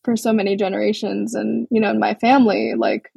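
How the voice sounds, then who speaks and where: Woman from Hawaii, young adult, husky and gravelly, flat even tone, dry close sound. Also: medium pitch, fairly quick, no room sound. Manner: relaxed, thoughtful, many ums and restarts. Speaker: guest artist. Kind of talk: casual interview over a call.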